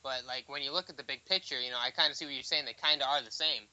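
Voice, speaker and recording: Bright-voiced male podcast host. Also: medium pitch, steady tone, rapid-fire, dry recording, rough recording. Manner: brief agreeing interjections, loose questions. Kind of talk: casual interview chat.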